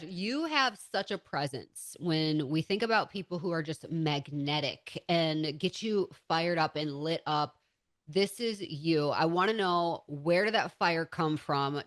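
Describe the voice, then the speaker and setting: A woman's voice: on the low side, fairly bright tone, dry recording. A woman hosting a podcast interview, enthusiastic as she introduces and questions her guest. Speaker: podcast host.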